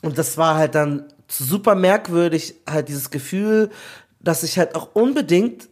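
A man from Nigeria, a guest telling lively stories in casual chat, laughing often as he talks. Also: a little high, measured pace.

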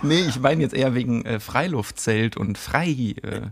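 A man's voice: medium pitch, animated, moderate pace, gravelly, a little hiss or hum. Chatty German man co-hosting a podcast. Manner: chatty, joking, often ends with "ne?". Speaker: podcast co-host. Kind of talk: casual chat.

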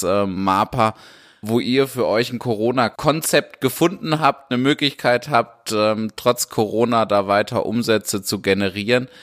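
A man's voice: fairly low pitch, a bit bright. A man from Karlsruhe hosts an interview podcast and asks friendly strings of questions. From Karlsruhe, Germany. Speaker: podcast host. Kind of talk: casual interview hosting.

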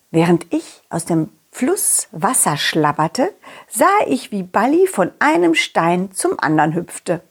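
A woman voicing a confident, loyal dog who tells an adventure to children in an expressive, chatty way. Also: mid-range voice, breathy edge, full character voice. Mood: curious, narrating. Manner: animated, expressive, addresses children directly. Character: confident, loyal, friendly talking dog telling his adventure. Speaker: puppeteer storyteller. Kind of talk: children's audio story, narrating to kids.

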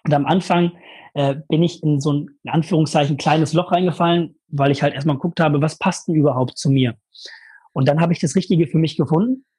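A young man telling a lively personal story in a casual interview, full of fillers and emphasis. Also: on the high side, brisk, breathy edge. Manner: energetic, animated storytelling with many fillers. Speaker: guest, former mastermind participant.

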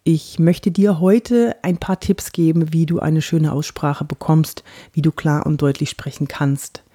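A woman from Franconia with a light Bavarian lilt, an actress and body-language coach giving a chatty podcast lesson on clear speech and slowly guiding mouth exercises. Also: low-pitched, steady tone, mellow. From Franconia, Germany. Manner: guides exercises slowly and deliberately; chatty and personal.

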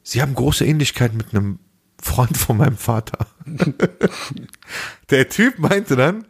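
A young man with a husky voice and a lot of creak tells anecdotes like a podcast host chatting with a friend. His talk is slangy and animated, and he acts out each side of a conversation. His pitch sits in the middle for a man. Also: slow. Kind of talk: casual chat, animated storytelling.

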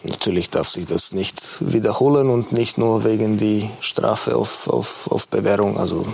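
A man's voice: on the low side, moderate pace, creaky, a little mellow, slightly rough, no room sound. A man with a foreign accent, German not his first language, a football coach answering candidly and self-critically in a studio interview, with many hesitations.

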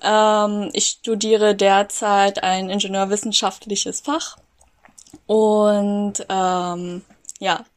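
A woman's voice: medium pitch, little pitch movement, very slow. A young woman from Berlin, a podcast guest, who laughs a lot and talks hesitantly with many fillers. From Berlin, Germany. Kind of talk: casual podcast interview.

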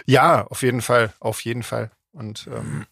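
A man's voice: mid-range voice, steady tone, unhurried, gravelly, a bit bright, no room sound, clean studio sound. A man, a singer and lyricist, chatting casually on a podcast, hesitant with lots of fillers and frequent laughs.

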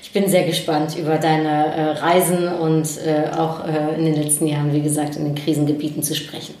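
A woman host of a casual interview podcast with a somewhat low voice. She asks long, winding questions full of hesitations. The room echoes heavily around her. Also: flat delivery, fairly quick, a little mellow. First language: not German. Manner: hesitant, many fillers, laughs while asking.

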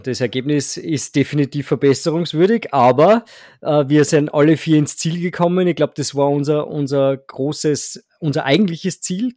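A man's voice: a little high, darkish tone, no echo. Hesitant man with a dry voice. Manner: hesitant, many fillers and restarts, self-deprecating humor. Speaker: podcast guest, amateur cyclist. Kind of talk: casual podcast chat.

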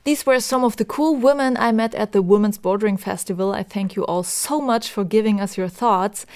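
A woman narrating her own vlog in a friendly, relaxed voice. She talks casually and directly to her viewers. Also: mid-range voice, fairly quick. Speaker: vlogger.

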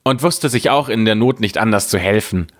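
A man narrating a scripted fairy tale as a storyteller, in a measured, deliberate way. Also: fairly low pitch.

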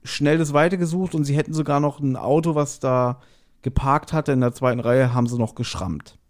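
A man hosting a podcast, casually retelling a story in an animated way and acting out short quotes. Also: mid-range voice, normal speed. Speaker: podcast host. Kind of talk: casual chatty episode recap.

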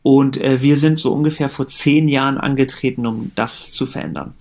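Man, late 30s, from Germany; relaxed, rambling podcast guest. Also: mid-range voice, normal speed. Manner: relaxed, chatty, many fillers and restarts. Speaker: company co-founder and managing director. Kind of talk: casual podcast interview.

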